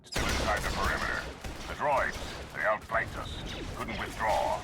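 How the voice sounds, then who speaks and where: A man's voice: fairly low pitch, animated, normal speed. A man playing an urgent soldier calling in from a battle. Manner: urgent, shouted over battle. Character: urgent male soldier on comms. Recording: distant voice under loud music and gunfire.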